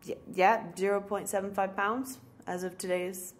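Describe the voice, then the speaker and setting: A woman's voice: mid-range voice, level tone, moderate pace. A woman co-hosting a podcast in casual, teasing banter, mixed with announcements. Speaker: podcast co-host.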